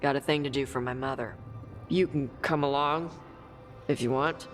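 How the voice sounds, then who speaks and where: A woman voicing a blunt young dragon hunter who talks in short, gruff, dismissive lines in a game cutscene. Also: very deep voice, steady tone, normal speed, soft background music. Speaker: treasure hunter. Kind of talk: video game cutscene dialogue.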